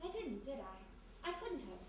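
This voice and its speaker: A woman playing a bold, quick-witted young lady sleuth in a period radio mystery. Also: high-pitched, sing-song. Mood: eager, flattering. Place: live performance in a historic house. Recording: very echoey live stage, distant, noisy.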